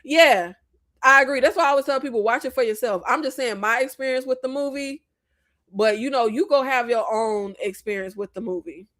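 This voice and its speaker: A young woman from Florida with a Floridian Southern lilt in her slang, hosting a casual live chat about movies, emphatic and quick to leap up in pitch when exasperated. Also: a bit bright, no room sound, clean studio sound. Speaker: live stream host discussing movies. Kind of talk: casual live chat reading viewer comments.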